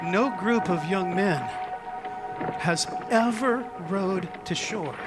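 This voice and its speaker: A male preacher giving a theatrical, suspenseful dramatic reading as sermon storytelling, with deliberate pauses. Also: high-pitched. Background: music and sound effects.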